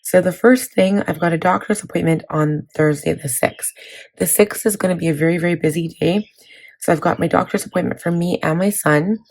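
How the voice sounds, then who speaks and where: A woman in her thirties, hoarse from laryngitis, chatting casually about her planner with many fillers. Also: fairly low pitch, a little fast, a little mellow, poor audio. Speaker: planning vlogger. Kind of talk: casual chat walking through a planner.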